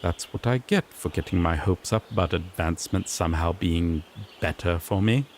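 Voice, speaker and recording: A man's voice: low-pitched, normal speed, slight background noise, close to an everyday voice. A man playing a sassy, exasperated griffin knight in a fantasy audio roleplay, pompous one moment and sardonically grumbling the next. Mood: disappointed, grumbling. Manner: pompous proclamations slipping into flustered, sardonic grumbling.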